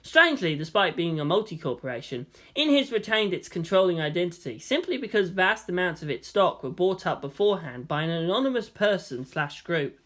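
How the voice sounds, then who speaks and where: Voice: mid-range voice, sing-song, fairly quick, few pauses, fairly bright tone. A narrator reading fan fiction aloud as scripted storytelling.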